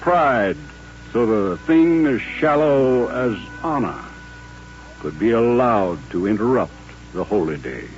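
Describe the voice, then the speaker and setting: An actor playing a devout, proud old colonel in a radio drama, speaking in formal, measured, solemn phrases. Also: male voice, medium pitch, animated. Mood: grave, penitent. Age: older. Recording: dull old radio recording with faint music.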